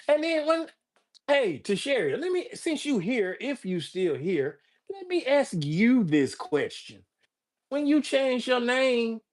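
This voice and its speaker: An older man hosting a casual livestream, rambling and joking as he greets viewers by name. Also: sits high. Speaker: livestream host. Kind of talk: casual livestream chat reading viewer comments.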